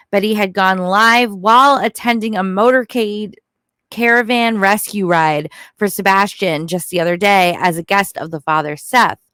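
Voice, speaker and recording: A woman's voice: mid-range voice, a bit bright, no echo, clean studio sound. A woman giving casual, opinionated true crime commentary in a blunt, informal way.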